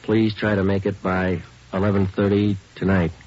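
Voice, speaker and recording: Dull old radio recording with music, man playing low-voiced American police detective, clipped, deadpan, businesslike. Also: normal speed, a little hiss or hum, close to an everyday voice. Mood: businesslike, terse. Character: male police sergeant detective, terse, dry, businesslike. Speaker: lead detective and narrator in a radio drama. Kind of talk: 1940s radio police drama acting.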